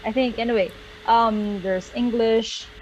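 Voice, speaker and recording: A woman's voice: fairly high pitch, fairly even intonation, measured pace, highs cut off, crowd noise. A woman, a Tagalog speaker, giving a guest presentation over a video call, explaining things with frequent okays and ums. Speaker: guest speaker and Spanish teacher. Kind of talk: online presentation.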